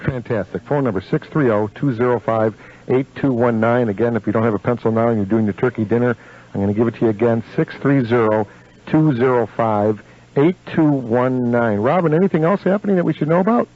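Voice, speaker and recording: A man's voice: medium pitch, fairly quick. A man hosting a live local radio show, chatting with effusive exclamations of praise. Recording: dull lo-fi AM radio recording.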